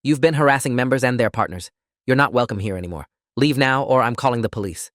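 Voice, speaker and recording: A man's voice: medium pitch, fairly quick, a bit bright, no room sound, clean studio sound, close to an everyday voice. A man voicing a firm, authoritative female gym manager in an audiobook story.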